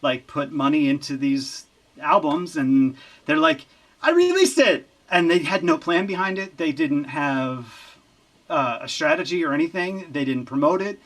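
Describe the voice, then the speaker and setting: A man who works as a recording engineer, chatting as an interview guest in an easygoing, halting way. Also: on the high side, animated. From Lehigh Valley, Pennsylvania. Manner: easygoing, halting, with many fillers and restarts. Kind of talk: casual interview chat.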